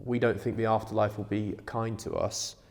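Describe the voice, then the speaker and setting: A man's voice: fairly low pitch, fairly even intonation, normal speed, a little mellow. A man in a casual, informal discussion, thinking ideas through reflectively as a podcast co-host. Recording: long reverberant room sound.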